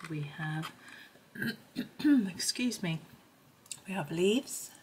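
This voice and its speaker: Low-voiced woman, slightly croaky, chatting. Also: expressive. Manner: chatty, unhurried thinking aloud. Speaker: host of a colouring supplies review. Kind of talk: casual chat while demonstrating.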